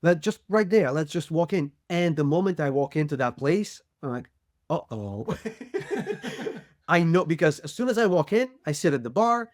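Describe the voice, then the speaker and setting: A man's voice: a little high, sing-song, normal speed, dry recording, studio quality. A man from Ukraine, co-hosting a podcast and telling a rambling, self-amused personal story. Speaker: podcast co-host. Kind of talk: casual interview, personal story.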